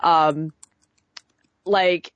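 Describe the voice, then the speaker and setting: A woman's voice: deep voice, fairly even intonation, extremely slow, smooth, dry recording. Female podcast co-host, informal and candid commentary with wry asides, close dry sound, a little lo-fi. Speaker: podcast co-host reviewing wrestling. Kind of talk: casual chat, opinionated commentary.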